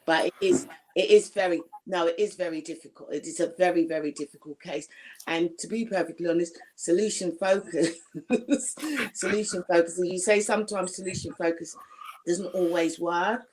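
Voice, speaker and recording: A woman with a gruff voice chatting frankly with her family support team, often restarting her sentences. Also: fairly low pitch, steady tone, normal speed, a little mellow, rough recording. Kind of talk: casual team chat.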